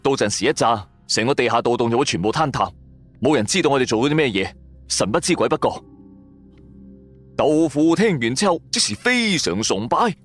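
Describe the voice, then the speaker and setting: A man narrating a scripted first-person audio drama in a colloquial, suspenseful storytelling manner. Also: medium pitch.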